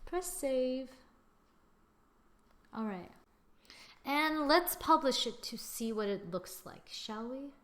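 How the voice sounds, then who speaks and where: A young woman hosting a screen-recorded software tutorial, chatting through each step as she works at her computer. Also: sits high, lively intonation, takes it slowly, pauses often. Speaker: tutorial host.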